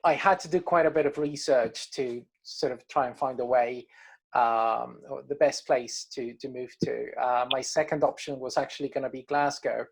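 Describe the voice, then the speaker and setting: Man, hesitant and halting. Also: medium pitch, steady tone, gravelly, slightly rough. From Caribbean coast of Colombia. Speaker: band musician being interviewed. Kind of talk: casual interview chat.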